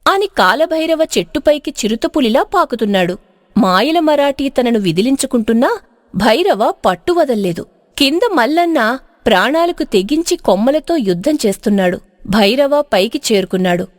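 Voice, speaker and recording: A woman's voice: a little high, expressive, moderate pace, no echo, studio quality. A woman narrating a storybook tale in a dramatic, scripted style.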